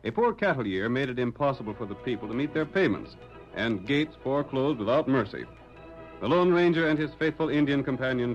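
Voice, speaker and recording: A man with an American accent delivers dramatic old-time radio narration. His voice is fairly high. Faint music plays behind him, and the vintage recording is muffled. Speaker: announcer, narrator.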